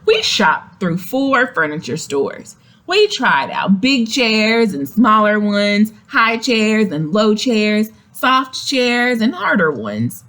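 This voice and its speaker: A woman reading a picture book aloud to young children, expressive and explanatory, speaking to them directly. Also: mid-range voice, takes it slowly. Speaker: children's story reader. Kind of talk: animated read-aloud of a picture book with asides to young listeners.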